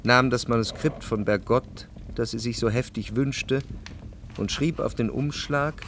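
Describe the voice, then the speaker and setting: An actor playing a brooding, introspective narrator, reading in measured, reflective for a literary radio drama. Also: male voice, mid-range voice, a bit bright, a little hiss or hum. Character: brooding, introspective male narrator recalling a troubled love. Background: music.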